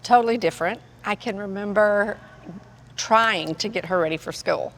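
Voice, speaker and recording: Woman, sixties, podcast guest, animated storyteller, long reverb, faint hiss. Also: mid-range voice, moderate pace. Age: 60s. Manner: animated storyteller, laughs often. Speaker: guest, mother. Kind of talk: casual storytelling.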